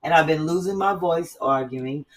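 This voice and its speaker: Woman, casual chat host, animated, blunt, profane, set back from the mic. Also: deep voice, normal speed, far from the mic, a crowd in the background.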